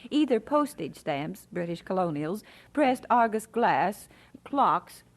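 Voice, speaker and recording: Pastor's wife for audio drama, mid-range voice, affectionate and gently wry. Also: female voice, medium pitch, very animated, slow, full character voice. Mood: fond, teasing. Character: Catherine, pastor's wife narrating, fond and gently teasing. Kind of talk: audio drama acting and narration.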